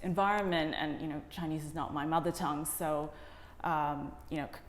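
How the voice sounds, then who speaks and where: Low-voiced woman in an echoey room. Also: fairly even intonation, a little fast. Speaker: interview guest, documentary director. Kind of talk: reflective interview answers. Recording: echoey reverberant room with faint noise.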